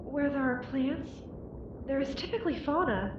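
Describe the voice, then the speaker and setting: An actress playing an uneasy deep-sea submersible crewmate in an analog horror drama, with a measured, hesitant delivery. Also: female voice, expressive. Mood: quiet wonder. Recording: dull, echoey, clear background noise.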